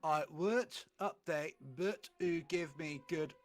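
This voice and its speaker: A man's voice: a little high, takes it slowly, faint music behind. A man reading fan fiction aloud in a comic way, with casual banter in between.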